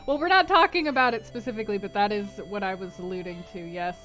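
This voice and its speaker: A woman's voice: medium pitch, quick pace, sharp tone, soft background music. A woman hosting a live-streamed book club, chatting casually with her viewers in a rambling way and laughing often. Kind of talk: casual chat with live chat before a stream reading.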